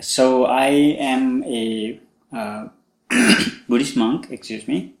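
A young man from Sri Lanka, a Buddhist monk hosting a podcast, talking slowly with many pauses and fillers about his life. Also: mid-range voice, lively intonation, creaky, reverberant. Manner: slow, halting, many fillers. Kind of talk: casual spoken monologue.

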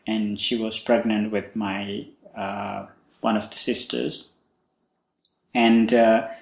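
A young man from Sri Lanka, a Buddhist monk hosting a podcast, talking slowly with many pauses and fillers about his life. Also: lowish voice, gravelly, a little mellow, roomy sound. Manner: slow, halting, many fillers. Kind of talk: casual spoken monologue.

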